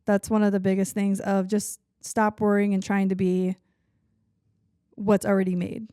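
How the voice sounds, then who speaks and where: A woman's voice: mid-range voice, level tone, moderate pace, dark tone, clear, resonant tone, dry recording, studio quality. A woman with a Southern accent, a singer-songwriter guest chatting casually, with lots of "like" and "you know". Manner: chatty, lots of likes and backchannel. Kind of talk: casual chat.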